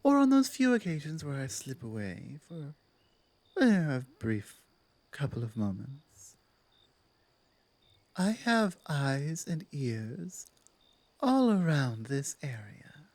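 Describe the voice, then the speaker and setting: A woman voicing a dominant but softening werewolf pack leader in an intimate ASMR roleplay, speaking in measured, deliberate phrases with weighty pauses. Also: deep voice, sing-song, no echo, heavily acted. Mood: guarded. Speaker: audio roleplay performer.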